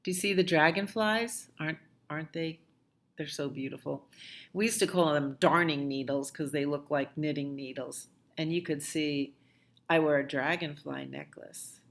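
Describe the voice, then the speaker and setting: A woman reading a picture book aloud to young children in an expressive, unhurried way, acting out the dialogue. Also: sits low. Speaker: children's storytime reader.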